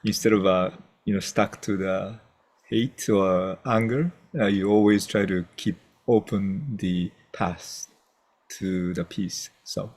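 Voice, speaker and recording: A low-voiced man hosts a memorial gathering as its reverend. He speaks softly and hesitantly, pausing often and filling the gaps with small sounds as he finds his words. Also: fairly even intonation. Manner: soft-spoken, full of fillers and restarts. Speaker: host, reverend. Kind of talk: hosting a memorial event.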